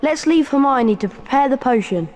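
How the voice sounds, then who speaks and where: A woman's voice: sits high, expressive, moderate pace, darkish tone, big-room sound. A woman playing a young, plucky but wary schoolboy wizard in a video game.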